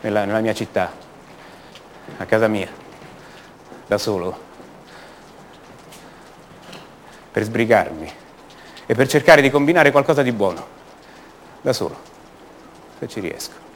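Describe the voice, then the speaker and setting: An actor playing a bitter, restless grown son back in his family home, delivering a halting radio theatre monologue that repeats words and confides in the listeners. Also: on the low side, slight background noise, close to an everyday voice. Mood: bitter, resentful. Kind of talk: stage-play monologue acted for radio theatre.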